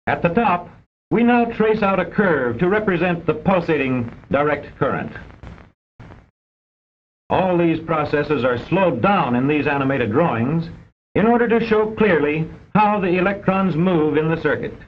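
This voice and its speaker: A man with an American accent delivers formal scripted narration for a vintage instructional film, measured and didactic with precise enunciation. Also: fairly high pitch, lively intonation. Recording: dull, band-limited old film soundtrack.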